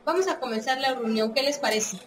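An actress playing an earnest, commanding political organizer in a radio drama, with a Mexican accent and an exhorting, scolding manner. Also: female voice, high-pitched, fairly quick, crowd noise, natural voice. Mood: brisk, chiding. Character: earnest, bossy women's political organizer leading a meeting. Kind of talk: scripted radio drama acting.

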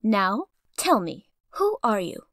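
A woman voicing a stern, composed guild mistress creature in a comic dub, speaking formally and with measured authority. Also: medium pitch, sing-song, fairly bright tone, no room sound, clean studio sound, heavily acted. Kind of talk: fan comic dub voice acting.

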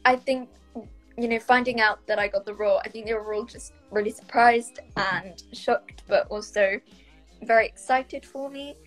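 A woman's voice: on the high side, steady tone, normal speed, fairly bright tone, faint music behind, poor audio. A young woman from South Wales with a Welsh accent, answering interview questions casually over a video call, thoughtful and full of fillers. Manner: thoughtful, many fillers and hedges. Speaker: actress guest. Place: small bright room indoors. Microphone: laptop webcam on a video call.

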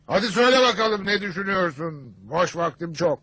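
A man's voice: high voice, animated, brisk, sharp tone, husky, no echo, heavily acted. A man playing a grumpy, bossy middle-aged father in a dubbed cartoon, blustering and sarcastic with theatrical exaggeration. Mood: boastful.

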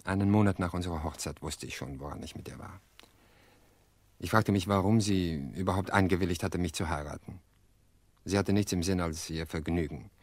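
A low, deep voice, close and dry, for a middle-aged widower in an audio drama. He speaks earnestly and wearily, weighing his words with reflective pauses. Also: darkish tone, no room sound. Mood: resigned, reflective. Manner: measured, reflective, hesitant pauses. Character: weary, earnest widower confessing to his sister-in-law. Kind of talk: radio play dialogue.

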